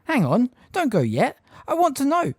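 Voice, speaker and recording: A man's voice: high voice, wildly swooping pitch, moderate pace, dark tone, dry recording, clean studio sound, voice pushed up, heavily acted. A man voicing a defiant teenage boy who speaks sharply and urgently.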